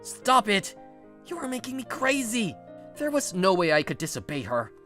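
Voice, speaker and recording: An exasperated, guarded young man at the office acted by a woman in a manga video dub. The voice sits a little low for a woman and swings widely in pitch as he defends himself. Music plays underneath. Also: huge pitch swings, brisk. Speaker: narrator and lead of a manga dub. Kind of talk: dramatized first-person story narration and dialogue.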